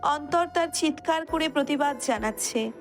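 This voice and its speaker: High-voiced woman narrating a dramatic story. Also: moderate pace, faint music behind.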